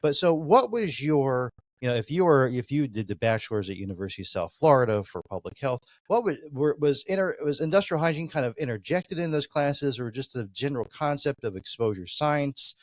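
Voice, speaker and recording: A man's voice: medium pitch, animated, fairly quick, hardly any pauses, no room sound. Rambling male podcast host, dry sound. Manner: rambling, with many "you know" fillers. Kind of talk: podcast interview.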